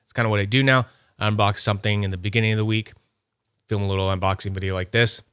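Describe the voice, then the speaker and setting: Chatty upbeat man, lowish dry voice. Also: steady tone, fast, a bit bright, clean tone, no room sound. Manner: chatty, thinks aloud while handling items; nerdy and upbeat. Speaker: YouTube fidget toy reviewer. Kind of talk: casual unboxing commentary.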